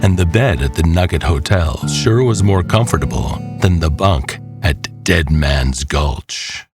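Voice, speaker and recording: Deep-voiced, slow male storyteller over music. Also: expressive. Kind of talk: scripted story narration.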